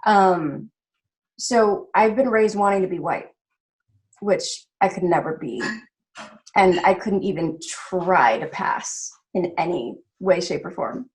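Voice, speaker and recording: A woman's voice: fairly low pitch, takes it slowly, low-quality recording. A woman from the Philippines speaking as a panelist on a video call, thoughtful and hesitant, with frequent fillers. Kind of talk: casual panel discussion.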